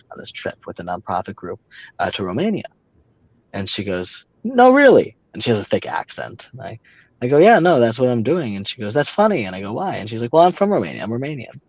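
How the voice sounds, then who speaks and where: A young man telling a personal story in a halting way, with frequent pauses and restarts. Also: fairly low pitch, no echo, dull audio. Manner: halting, many fillers and restarts. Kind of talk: casual storytelling.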